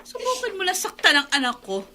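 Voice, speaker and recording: A woman playing a protective mother in a Tagalog radio drama, motherly and expressive, with a Filipino accent. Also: extremely high pitch, a little fast, heavily acted. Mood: defiant, protective. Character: protective, soothing mother of a young child.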